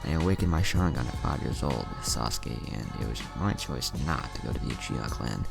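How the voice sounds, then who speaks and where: A defiant young ninja hero voiced by a male narrator answers firmly and seriously in a very deep, creaky voice, with music underneath. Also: expressive, brisk, exaggerated voice. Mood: blunt. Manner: blunt, firm.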